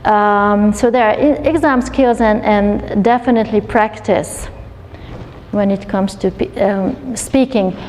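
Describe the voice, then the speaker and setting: A woman's voice: mid-range voice, takes it slowly, a little mellow, slight background noise. A woman, an educational consultant presenting a coursebook to teachers, explaining with frequent restarts and fillers. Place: lecture hall at a university. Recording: long hall reverb.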